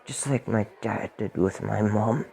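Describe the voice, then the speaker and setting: A teenage man playing a local baker with a dark secret in an amateur radio play. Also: fairly low pitch, measured pace, vocal fry, husky, full character voice. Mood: grim, confessing. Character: local male baker, secretly a grimly unrepentant killer.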